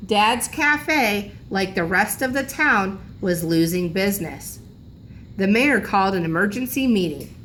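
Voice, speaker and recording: A woman's voice: mid-range voice, expressive, a little slow, fairly bright tone, slight background noise. A woman reading a children's storybook aloud like a teacher, expressively, lingering on key phrases for emphasis.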